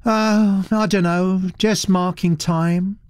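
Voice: medium pitch, steady tone, slow, darkish tone, clear, resonant tone, no room sound, studio quality, voice pushed up, close to an everyday voice. A narrator voicing a young woman artist who drawls her words with placid indifference. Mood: nonchalant. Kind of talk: audiobook character voice.

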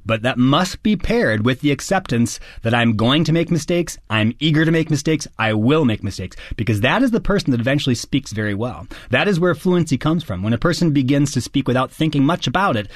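A middle-aged American man, an actor, answering interview questions in an earnest, articulate and measured way. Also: medium pitch, expressive, no echo, clean studio sound. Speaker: actor and guest.

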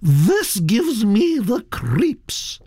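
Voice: mid-range voice, wildly swooping pitch, very slow, dark tone, husky, no room sound, clean studio sound, voice pushed up, full character voice. A narrator playing an imperious, opinionated elderly duchess with a blunt, sputtering, autocratic manner. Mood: disgusted. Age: older.

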